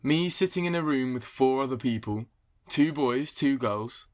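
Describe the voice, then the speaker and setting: Candid young man, medium pitch , acted. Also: moderate pace, a little mellow, close to an everyday voice. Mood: candid, reflective. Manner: hesitant, candid. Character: candid young man recounting a casual encounter. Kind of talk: confessional radio drama monologue.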